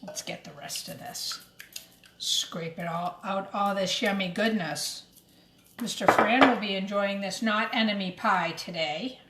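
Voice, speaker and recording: A woman's voice: mid-range voice, measured pace. A woman giving a step-by-step cooking demonstration for children, explaining each thing simply and addressing them directly. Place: indoors in a kitchen. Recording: roomy echo, clattering kitchen noise.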